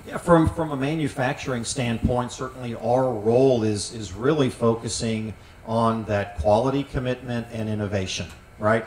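A man's voice: mid-range voice, normal speed, few pauses, darkish tone. A middle-aged man speaking on a panel as a site manager, earnest and full of fillers, into a handheld mic in a large tent. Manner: earnest, with frequent fillers and repeated words. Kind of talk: panel discussion. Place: large tent at an outdoor event. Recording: roomy sound from some distance, faint noise.

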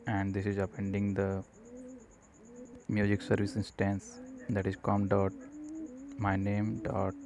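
A man narrating an unscripted screencast tutorial, explaining step by step in a halting way. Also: lowish voice. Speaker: programming tutorial presenter. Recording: noticeable background noise.

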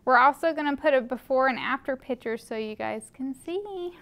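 A woman's voice: sits high, expressive, moderate pace, a bit bright. A young woman hosting a children's library story time, reading a picture book aloud and putting questions to small listeners. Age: young adult. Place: carpeted library children's room, indoors. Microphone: camera a few feet away.

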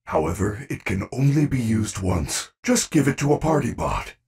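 A man's voice: fairly low pitch, very animated, normal speed, vocal fry, darkish tone, breathy edge, recorded from a distance, heavily acted. A man voicing a friendly, caring animatronic bear in a video game, speaking in formal, precise, earnest sentences. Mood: earnest, instructive. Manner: formal, precise wording without contractions, earnest. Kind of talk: video game character voice acting.

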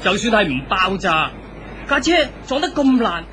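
Scoffing male bystander, blunt, Hong Kong Cantonese accent, animated pitch, exclamatory, 1970s radio drama, noisy recording. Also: moderate pace. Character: blunt, scoffing man in the street.

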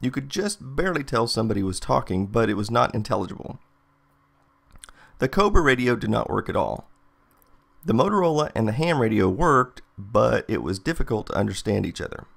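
A man's voice: medium pitch, gravelly, fairly bright tone. An American man narrating a scripted product test in a plain, methodical, matter-of-fact way. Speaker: product reviewer, channel host.

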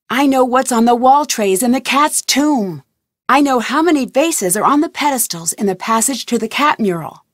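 An actress voicing a detective heroine in a game, stating clues in a matter-of-fact way. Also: female voice, fairly high pitch, dry recording, clean studio sound. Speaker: player character, detective. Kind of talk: video game voice lines.

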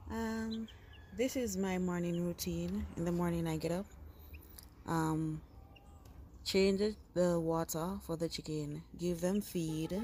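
A woman narrates a casual vlog in an informal, unhurried way over chirping chicks. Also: on the low side, mellow. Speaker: vlogger. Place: chicken coop at home. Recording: noisy handheld phone audio.

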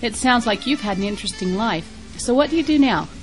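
Actress as a sociable young career woman, slightly high voice, clear chatty lesson dialogue. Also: lively intonation, fast, faint music behind, close to an everyday voice, low-quality recording. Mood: friendly, interested. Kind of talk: scripted English lesson dialogue.

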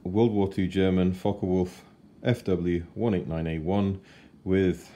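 A man in his forties giving a chatty, unhurried model kit review at his kitchen table. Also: low voice, steady tone, mellow, slight background noise. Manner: chatty and unhurried, with fillers and self-corrections. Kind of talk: casual unboxing review.

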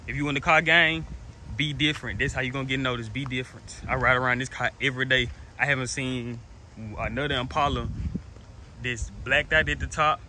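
A nineteen-year-old man rambles to his phone camera while walking outside, circling back to the same points and restarting his sentences. His voice sits in an ordinary middle pitch. Outdoor noise runs clearly under his talk. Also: steady tone, fairly quick, sharp tone. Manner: rambling, repeats himself, lots of restarts. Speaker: car vlogger. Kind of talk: casual vlog to camera. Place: outdoors in a driveway beside a car. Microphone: phone held at arm's length.

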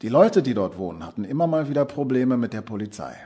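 A man's voice: medium pitch, big pitch swings, quick pace, mellow, slightly rough. An actor playing a matter-of-fact male police colleague reporting in an audio drama.

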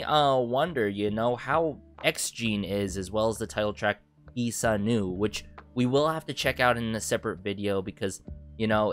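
A young man with a fairly low voice reviews a track in loose, casual chat. He is animated and fills his talk with little asides. He is close to a boom mic in a small bedroom, with faint music playing under his voice. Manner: animated, thinks aloud with many fillers like "you know" and "right". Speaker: music reaction channel host. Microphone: boom mic close to the face, headphones on.

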